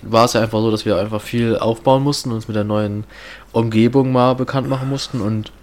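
A man's voice: medium pitch, fairly even intonation, a little fast, a little mellow. Man, podcast co-host, rambling, casual chat, home mic. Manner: chatty, explaining, rambling. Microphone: own home microphone setup.

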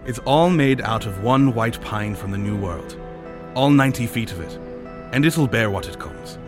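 A man's voice: medium pitch, expressive, moderate pace, music in the background, pitched above the performer's own voice, close to an everyday voice. A man voicing a seasoned, superstitious sailor with folksy rustic speech and a wry, reassuring manner in a storybook reading. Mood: assured, proud. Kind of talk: audiobook character dialogue.

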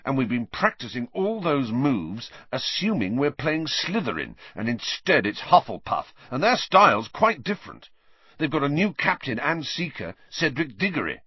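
An intense young sports captain from a storybook , acted by a man. He is bossy and urgent, with a raised voice that swings widely in pitch. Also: very animated, moderate pace, husky, dry recording, lo-fi sound. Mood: bitter. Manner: emphatic, urgent, clipped. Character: intense, anxious, bossy teenage boy team captain.

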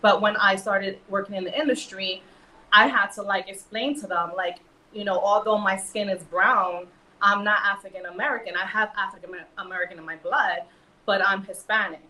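A woman's voice: medium pitch, fairly even intonation, moderate pace, bright, forceful tone, recorded from a distance, a little hiss or hum. Earnest New York woman, echoey room. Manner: earnest, filler-heavy, emphatic. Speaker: model and actress guest. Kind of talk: casual panel discussion.

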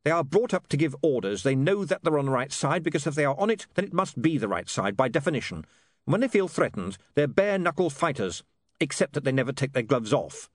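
A man's voice: medium pitch, very animated, fairly quick, dry recording, studio quality, pitched above the performer's own voice. A man voicing an earnest, bitter young man of privileged birth in an audiobook, with a clipped, emphatic way of talking. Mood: agitated, bitter.

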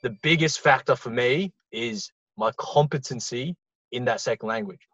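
A man's voice: medium pitch, lively intonation, moderate pace, creaky, fairly bright tone, no echo, faint music behind. A man on a casual podcast making his point bluntly and matter-of-factly, with casual swearing. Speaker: podcast co-host. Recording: dry, dull lo-fi sound.

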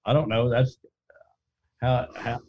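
A man who leads a gun company, telling his story in a casual interview with a deep, hollow-sounding voice and a rambling way of talking full of restarts. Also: flat delivery, slow, dry recording, muffled recording.

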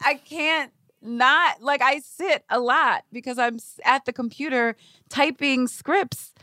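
A woman's voice: sits high, takes it slowly, sharp tone, no room sound, clean studio sound. A woman co-hosting a casual conversational podcast, speaking candidly in colloquial, self-deprecating language. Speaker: podcast co-host.

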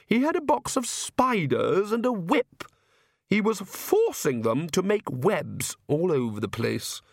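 A man's voice: sits high, sing-song, takes it slowly, gravelly, no room sound, studio quality, voice pushed up, heavily acted. A man voicing a snobbish, fussy middle-aged vampire countess in a storybook, affected and disdainful.

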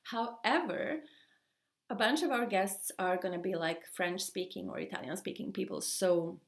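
A young woman from Sweden with a Swedish accent, hosting her own podcast and chatting excitedly in a solo intro, with lots of fillers and restarts. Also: medium pitch, normal speed. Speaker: podcast host.

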